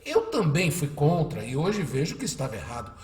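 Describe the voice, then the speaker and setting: A man acts a devoted small-town Brazilian husband in a radio drama. His voice sits a little high and swings expressively, folksy and reassuring. The room around it echoes noticeably. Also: big pitch swings, brisk, a little mellow.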